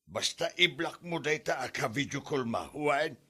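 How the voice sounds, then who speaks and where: A man's voice: medium pitch, animated, normal speed, sharp tone, breathy edge. A man playing a jealous, whiny, blustering married father in an Ilocano comedy drama, exclaiming and stammering when flustered. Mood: flustered, defensive. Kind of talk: comedy radio-style drama acting.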